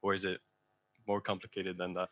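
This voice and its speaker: A man's voice: sits low, completely flat delivery, a little fast, a bit bright. A man in a conference audience putting a technical question to the speaker. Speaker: audience member asking a question. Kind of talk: conference question, thinking aloud.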